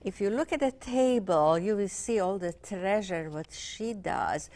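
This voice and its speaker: A woman's voice: mid-range voice, measured pace, a little mellow. A middle-aged woman hosting a cable fashion interview show, speaking in with many fillers and hesitations. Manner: hesitant, many fillers, non-native grammar.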